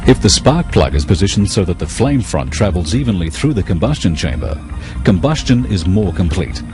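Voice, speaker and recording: A man reading scripted technical narration for an educational film in a measured, explanatory, impersonal manner. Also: low-pitched, very animated, over music.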